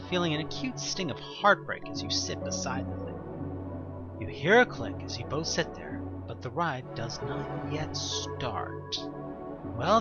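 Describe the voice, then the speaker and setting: A man reading scripted second-person horror narration in a suspenseful, expressive storytelling manner with deliberate pauses. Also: mid-range voice, highs cut off. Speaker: narrator of an audio play. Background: music.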